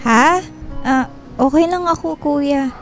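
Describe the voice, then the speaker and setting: A woman's voice: sits high, slow pace. A woman playing a timid, hurt young girl with a Filipino accent in a radio drama, hesitant and pleading. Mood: meek, hesitant. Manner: hesitant, meek and polite, pleading. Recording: music bed behind the voice.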